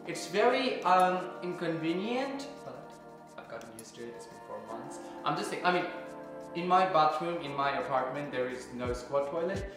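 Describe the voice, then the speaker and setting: A man's voice: a little high, animated, moderate pace. A young man from Nepal vlogging casually in his apartment, candid and chatty with lots of fillers. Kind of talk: casual vlog talk to camera. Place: apartment kitchen. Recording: very echoey room with music.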